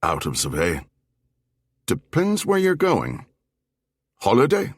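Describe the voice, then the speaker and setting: A man's voice: mid-range voice, huge pitch swings, takes it slowly, husky, no echo, studio quality, pitched above the performer's own voice, exaggerated voice. A man voicing a mild, curious middle-aged German bartender with a German accent, in brief audiobook dialogue.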